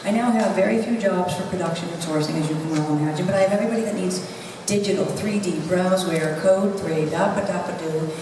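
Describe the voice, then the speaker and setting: Recruiter lady running the panel, lowish voice, noisy boomy room. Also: quick pace. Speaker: moderator and recruiter. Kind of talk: panel moderation. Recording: echoey hall from far off, noisy.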